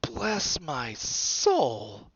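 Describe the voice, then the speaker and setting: Man putting on an awestruck old bartender, high and excitable. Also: lo-fi sound, slight background noise, exaggerated voice. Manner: exclaiming, reverent, drawn-out. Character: old, bald, toothless, awestruck male bartender.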